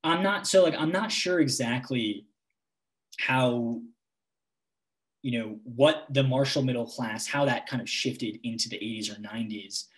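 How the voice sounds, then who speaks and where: A man's voice: mid-range voice, measured pace, poor audio. Young man, hedging academic talk. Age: young adult. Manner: hesitant, full of "like" and "kind of". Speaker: guest lecturer. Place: home room with guitars on the wall. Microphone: laptop webcam mic on video call.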